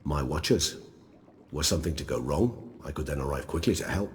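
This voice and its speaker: An actor playing an erudite, composed and courteous vampire scholar in a fantasy video game, speaking formally and precisely. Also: male voice, a bass voice, fairly quick, darkish tone, big-room sound, slight background noise. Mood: measured concern.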